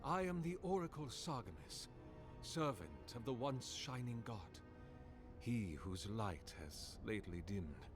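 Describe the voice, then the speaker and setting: A man's voice: mid-range voice, very animated, measured pace. A man voicing an ancient, devout male oracle priest who speaks in grave, archaic phrasing. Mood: yearning. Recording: game audio over loud music.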